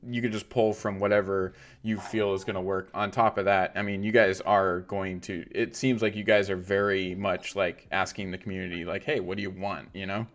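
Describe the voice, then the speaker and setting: A man hosting a live stream chats with a guest in a rambling way. His voice sits fairly low, and he fills his talk with "like" and "right?". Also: flat delivery, normal speed, hardly any pauses, fairly bright tone. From Los Angeles area. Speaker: stream host. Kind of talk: casual interview chat.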